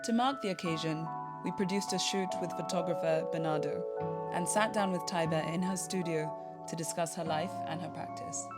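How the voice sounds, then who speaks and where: Woman podcast host, lowish voice, calm measured read, music under it. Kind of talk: scripted intro then casual interview. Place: artist's studio. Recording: music playing behind the voice.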